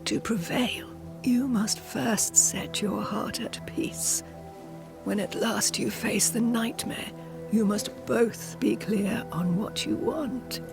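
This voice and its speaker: A woman's voice: mid-range voice, vocal fry, over music. An actress playing a dying old woman, a cryptic seer in a game cutscene, solemn, weary and whispery. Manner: slow, cryptic, whispery pronouncements. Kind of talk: dramatic game cutscene acting.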